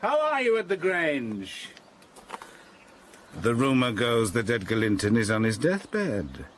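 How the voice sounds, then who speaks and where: A man's voice: medium pitch, huge pitch swings, slow pace, slight background noise. An actor playing a menacing, sardonic man in a period drama, shifting from mock courtesy to barked orders. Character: menacing, sardonic master of a moorland house, violent temper. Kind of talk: period radio drama acting.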